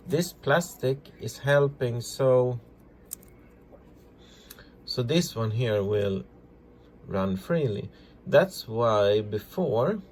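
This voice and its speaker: Slow-talking man giving a hands-on demo. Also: medium pitch, darkish tone, a little hiss or hum. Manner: halting, simple non-native English phrasing. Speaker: repair tutorial presenter. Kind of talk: casual hands-on demonstration, narrating as they work.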